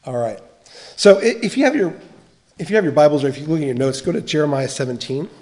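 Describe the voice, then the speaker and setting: A man's voice: on the high side, lively intonation, fast, darkish tone. A middle-aged American man from the United States, giving an earnest church teaching talk on counseling, often restarting his phrases. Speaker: biblical counseling trainer.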